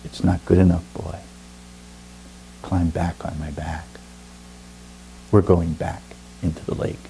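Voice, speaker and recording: A man voicing a stern, wise female bird in a spoken story, low and quiet. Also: flat delivery, slow, vocal fry, slight background noise, exaggerated voice, poor audio.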